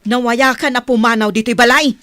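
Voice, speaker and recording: A woman's voice: fairly high pitch, steady tone, brisk, fairly bright tone, dry recording, natural voice. An actress playing a harsh, resentful wife and cold adoptive mother in a Filipino radio drama, cutting and scornful.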